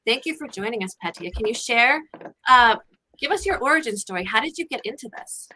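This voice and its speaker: A woman's voice: on the high side, animated, a little fast, pushed and bright, slight background noise, rough recording. A woman co-hosting a talk show, introducing a guest in an enthusiastic, upbeat way. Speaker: co-host.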